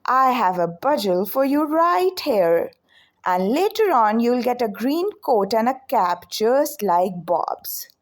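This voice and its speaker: A woman reading the part of a brisk, authoritative male show director in a children's storybook. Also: fairly high pitch, very animated. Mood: friendly, businesslike. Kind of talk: children's storybook read-aloud.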